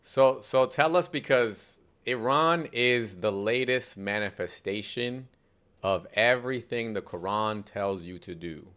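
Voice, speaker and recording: A man hosting a live debate podcast in a relaxed, chuckling manner, jumping in with quick remarks. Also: on the low side, smooth. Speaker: podcast host. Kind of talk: casual live debate chat.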